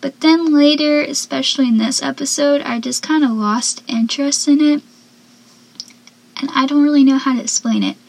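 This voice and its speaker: A seventeen-year-old girl with a soft, young-sounding voice, chatting casually as a podcast host and dropping into whispered asides. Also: sits high, steady tone, moderate pace, gravelly, poor audio. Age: teens. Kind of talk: casual solo podcast chat.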